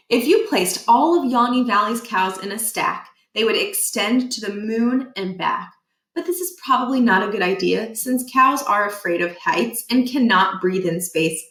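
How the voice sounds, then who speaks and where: A woman reading a children's book aloud expressively, with deliberate emphasis and a playful deadpan touch. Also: mid-range voice. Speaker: read-aloud storyteller. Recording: echoey room.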